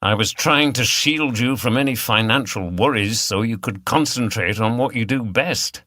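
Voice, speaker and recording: Guy doing an apologetic protective husband in an audiobook, mid pitch, earnest, very dry sound. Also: moderate pace, pushed and bright, no room sound. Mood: earnest, contrite. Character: protective, contrite husband who runs an inn.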